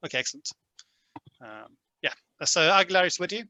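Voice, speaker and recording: Bright-voiced game master, lots of ums. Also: male voice, sits high, big pitch swings, a little fast, lo-fi sound. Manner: hesitant, many fillers. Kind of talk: narrating a tabletop game.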